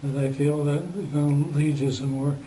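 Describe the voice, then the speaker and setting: An elderly man in his eighties giving an unscripted testimonial, halting and full of fillers and pauses. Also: fairly high pitch, flat delivery, dark tone, a little hiss or hum, poor audio. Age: 80s.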